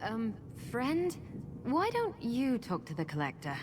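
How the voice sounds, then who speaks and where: An actress playing a kind masked immortal woman with lost memories, speaking in a gentle, reflective way. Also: fairly high pitch, wildly swooping pitch, measured pace, background noise. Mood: friendly.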